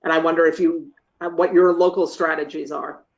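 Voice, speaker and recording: Meeting facilitator, measured explanatory delivery, careful hesitant phrasing, middle pitch, dull lo-fi call sound. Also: little pitch movement. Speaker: meeting facilitator answering board chairs' questions. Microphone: video call. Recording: dull lo-fi video call audio.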